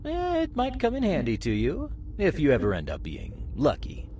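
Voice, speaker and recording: A woman voicing a cryptic, calm and sly male stranger from a visual novel, with a slow teasing delivery. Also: low-pitched, voice pushed down. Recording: clear background noise.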